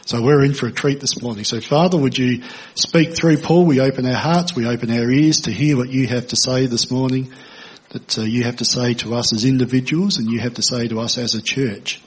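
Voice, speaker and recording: A man leading a prayer in church before introducing guest speakers, speaking in an earnest, measured way. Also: mid-range voice, steady tone, breathy edge, low-quality recording. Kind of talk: spoken prayer.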